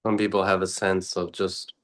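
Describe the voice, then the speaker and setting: A man speaking as a meditation teacher, guiding reflectively and unscripted in short, contemplative phrases with long pauses. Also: deep voice, little pitch movement, fairly bright tone.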